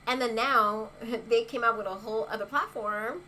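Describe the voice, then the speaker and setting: A woman's voice: a little high, steady tone, normal speed, heavy background noise. A young woman chatting casually on a livestream as an influencer, reading viewer comments and laughing often between rhetorical asides. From Haitian heritage.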